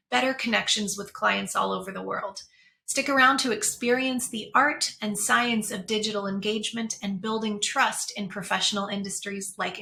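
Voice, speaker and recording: Show host: woman, bright forceful tone, enthusiastic, polished interview delivery. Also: mid-range voice, vocal fry, slight background noise. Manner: upbeat and enthusiastic. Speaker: podcast host. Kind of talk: interview hosting, scripted intro.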